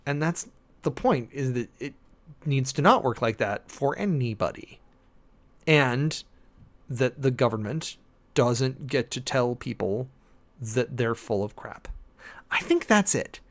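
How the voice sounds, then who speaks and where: Animated, theatrical man giving commentary. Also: mid-range voice, takes it slowly, long pauses. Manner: theatrical, emphatic, digressive, with frequent asides. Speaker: host, preacher giving commentary. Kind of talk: dramatic reading with informal opinionated commentary.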